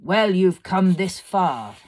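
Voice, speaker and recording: A woman's voice: lowish voice, expressive, slow, darkish tone, voice pushed up. A woman voicing a male fire fiend in a storybook, imperious, mocking and cruel, with a declamatory delivery. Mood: commanding, taunting. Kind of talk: dramatised storybook reading.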